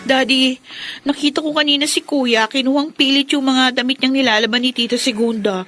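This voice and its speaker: A worried young daughter in a radio drama. Her voice is high and youthful. She speaks with sorrowful concern for her family. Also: fairly even intonation, brisk, fairly bright tone, soft background music. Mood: worried, sad. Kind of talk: Filipino radio drama acting.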